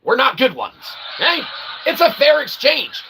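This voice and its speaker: A man hosting a casual, rambling livestream, self-deprecating and excitable, speaking of himself as "we.". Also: extremely high pitch, moderate pace. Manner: refers to himself as "we". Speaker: livestream host. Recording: clearly noisy background ambience.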